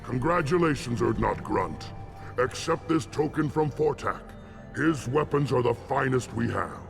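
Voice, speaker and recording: A man voicing a solemn, zealous alien warrior shaman in a video game, gravelly and ceremonial in his grand declarations. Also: medium pitch, animated, a little slow, music in the background. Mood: solemn, proud. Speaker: clan shaman.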